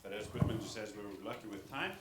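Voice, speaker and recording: A man's voice: fairly low pitch, fast. A man, a company founder, speaking hesitantly with many fillers at a conference Q&A. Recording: echoey hall mic with noise.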